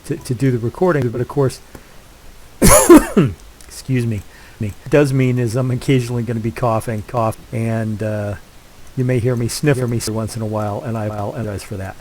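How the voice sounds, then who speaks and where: A man's voice: medium pitch, moderate pace, background noise. An older man hosting a game let's play, chatting casually and congested from a head cold, rambling with lots of fillers and restarts. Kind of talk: casual gameplay commentary.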